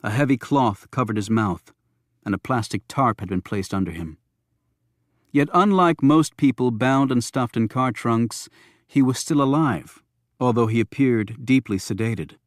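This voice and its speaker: A man's voice: mid-range voice, measured pace, no room sound, clean studio sound. A man narrating a thriller audiobook from a script.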